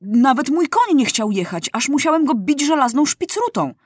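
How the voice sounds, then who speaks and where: A woman's voice: high-pitched, sing-song, no room sound, studio quality, voice pushed up. A woman voicing a worried male messenger on horseback who explains things in a hurry. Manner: hurried, fretful explaining.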